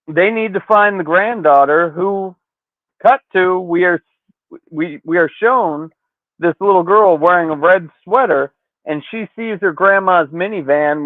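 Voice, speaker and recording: Slow-talking man on a thin line. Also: sits high, no room sound. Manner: profane, slangy, conversational. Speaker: podcast host. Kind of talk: casual episode recap storytelling. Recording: thin phone-like, radio-like sound.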